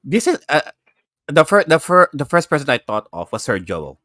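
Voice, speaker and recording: Excitable rambling Filipino man. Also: a little high, moderate pace, no room sound, studio quality. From Philippines. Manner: excitable, rambling, repeats himself. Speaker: gaming show panelist. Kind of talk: casual chat.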